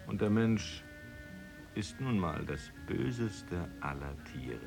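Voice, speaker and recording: A man's voice: deep voice, expressive, takes it slowly, vocal fry, music in the background, full character voice. A man playing an embittered, misanthropic, half-mad poet in a radio drama, declaiming with theatrical contempt. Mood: bitter, brooding.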